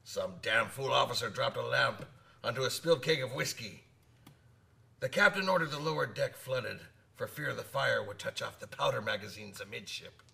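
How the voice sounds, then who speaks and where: An actor playing a talkative old sailor who spins hearty sea tales in old-salt style for a radio drama. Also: male voice, mid-range voice, moderate pace, vocal fry, sharp tone, far from the mic, heavily acted. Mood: reminiscing. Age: older. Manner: nautical old-salt talk, calls listener laddie.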